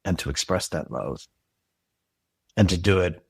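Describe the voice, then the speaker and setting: A man's voice: deep voice, expressive, measured pace, gravelly, breathy edge, dry recording, studio quality. A man who creates and directs audio drama, speaking as a guest in a casual interview, earnest, reflective and passionate.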